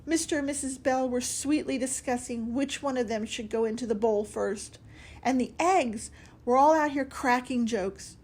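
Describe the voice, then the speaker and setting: Storybook role: woman voicing a meek, tearful bread boy, higher register. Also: normal speed, darkish tone. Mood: hurt. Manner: meek, tearful, self-pitying. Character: timid lonely slice of bread, young male.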